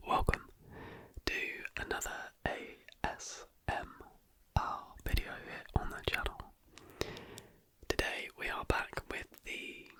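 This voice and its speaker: ASMR host, fully whispered throughout, breathy and unvoiced, crisp bright edge, deliberate narration. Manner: slow, soft, deliberate delivery.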